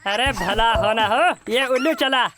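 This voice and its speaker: A woman's voice: fairly high pitch, a little slow, close to an everyday voice. A woman voicing a stubborn, sleepy little cartoon boy who whines and exclaims defiantly in a comic dub. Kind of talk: comic cartoon dubbing in Hindi. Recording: music bed under the voice.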